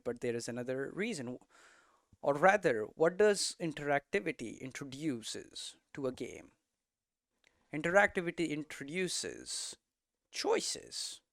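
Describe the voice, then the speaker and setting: A man giving an informal explanatory lesson as a presenter, posing questions and answering them himself in halting, emphatic phrases. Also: on the high side, no echo, studio quality. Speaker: presenter of a game development explainer series.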